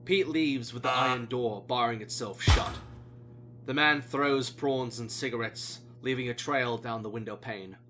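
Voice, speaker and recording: A man's voice: mid-range voice, a bit bright, slight background noise. A man reading scripted narration as the narrator of his own audio play. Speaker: narrator and writer of audio plays.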